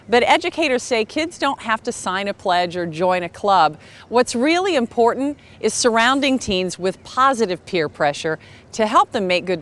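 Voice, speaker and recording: Female news reporter, mid-range voice, scripted TV package narration. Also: medium pitch.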